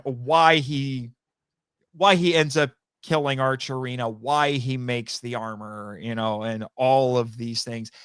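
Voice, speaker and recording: A talkative, animated man chatting casually as a podcast guest and often amused. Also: medium pitch, clear, resonant tone, dry recording, studio quality. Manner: animated, long-winded, laughs often. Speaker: podcast guest, audio drama writer and voice actor. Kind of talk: casual interview chat.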